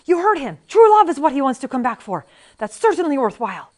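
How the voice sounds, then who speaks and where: A woman's voice: sits high, very animated, fairly quick, darkish tone, pitched above the performer's own voice. A woman voicing a proud, hot-tempered male swordsman who speaks urgently and indignantly.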